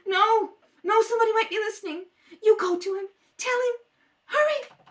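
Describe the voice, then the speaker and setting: A woman's voice: extremely high pitch, moderate pace, fairly bright tone, pitched above the performer's own voice. A woman playing a distraught, pleading young woman suspected of murder in an old-time radio drama, with frantic repetitions. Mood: desperate. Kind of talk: 1930s radio drama acting.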